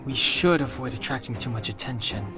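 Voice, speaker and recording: A man playing a serious, cautious young traveler in a video game, reserved and measured. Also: mid-range voice, close to an everyday voice. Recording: game music behind the voice.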